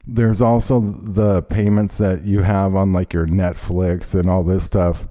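A man's voice: low voice, little pitch movement, unhurried, mellow. A man hosting a talk show, ranting in a rambling, indignant way with lots of fillers. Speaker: show host. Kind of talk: ranting casual chat.

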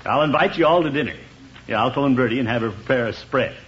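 An actor playing a blustery, sociable middle-aged water commissioner in a 1950s radio comedy. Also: male voice, medium pitch, quick pace, natural voice. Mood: flustered, coaxing. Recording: noisy, muffled old radio recording.

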